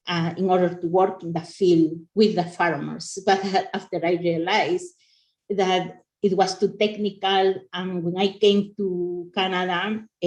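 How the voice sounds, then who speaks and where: Low-voiced woman from Peru. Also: darkish tone. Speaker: panelist. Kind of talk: panel talk.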